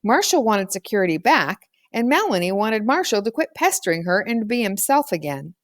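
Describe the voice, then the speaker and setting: A woman narrating a children's novel aloud as an expressive storyteller. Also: mid-range voice, normal speed, no room sound, clean studio sound. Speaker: audiobook reader.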